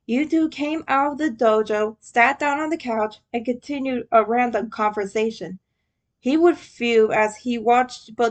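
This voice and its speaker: Slow, high-pitched woman narrator. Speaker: story narrator. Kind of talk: scripted narration of fan fiction.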